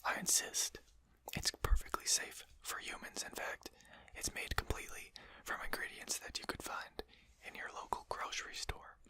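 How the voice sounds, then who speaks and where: Breathy whisper, very bright and hissing, a performer as a polite vampire lord, formal wording, composed, ASMR roleplay. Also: close to an everyday voice. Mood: reassuring, genteel. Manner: polite, formal, unhurried hushed speech.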